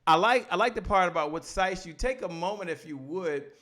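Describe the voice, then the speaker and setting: A man's voice: high-pitched, brisk. A middle-aged man hosting a casual interview show, talking animatedly into a studio mic in a home studio. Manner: animated, gestures with hands while talking. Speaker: show host. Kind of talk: casual green-room interview. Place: home studio with poster behind. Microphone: studio mic on boom arm.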